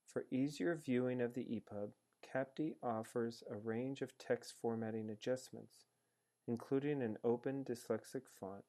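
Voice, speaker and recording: Mellow male tutorial narrator. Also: medium pitch, normal speed. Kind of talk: scripted instructional narration. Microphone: screencast voice-over.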